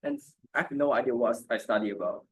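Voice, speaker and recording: Halting husky man, Mandarin native. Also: medium pitch, very animated, poor audio. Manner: halting, with restarts and simple phrasing. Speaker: student panelist. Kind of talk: casual panel discussion.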